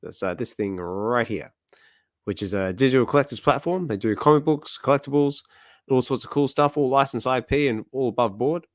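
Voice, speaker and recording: Slightly hoarse, chatty male streamer. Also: mid-range voice, a little fast, no room sound, studio quality. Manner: chatty, rambling with many fillers. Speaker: comic reading streamer. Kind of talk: casual chat.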